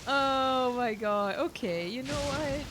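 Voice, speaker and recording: A woman's voice: high-pitched, slow pace, noisy background. A woman giving casual let's-play commentary, exclaiming and talking to herself as she reacts. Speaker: streamer playing and reacting to a game. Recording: game music behind the voice.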